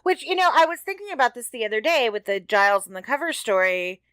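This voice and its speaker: A woman's voice: fairly high pitch, big pitch swings, fast, a bit bright, clean tone, no room sound. A woman co-hosting a podcast, chatting informally about a television show. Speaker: podcast co-host. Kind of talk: casual chat about a TV show.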